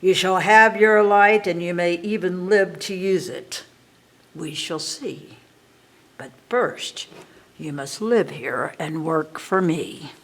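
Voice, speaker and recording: Sly old witch, menacing, commanding, low and unhurried, read by a woman. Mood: mocking. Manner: commanding, threatening.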